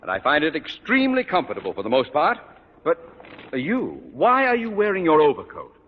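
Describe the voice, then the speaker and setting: An actor playing a pompous, irascible middle-aged school principal who scolds in formal, blustering speeches in a vintage radio sitcom. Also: male voice, wildly swooping pitch, a little fast. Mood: pompous, indignant. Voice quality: blustering, booming delivery. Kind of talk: 1950s radio sitcom acting. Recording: muffled old radio recording, noisy, reverberant.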